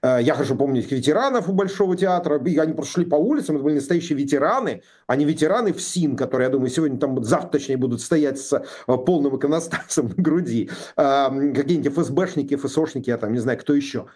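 A man in his sixties talking politics, indignant and sarcastic, with halting speech full of pauses and fillers. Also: on the high side, lively intonation, a little mellow. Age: 60s. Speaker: political commentator. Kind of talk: political discussion.

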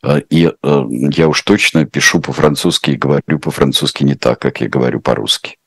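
A man with an extremely deep, low voice answers questions slowly and thoughtfully, filling his pauses with drawn-out hesitation sounds. The recording is dry and close, with no room echo. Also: fairly even intonation, slightly rough. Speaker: translator answering viewer questions. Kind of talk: unscripted reflective answers.

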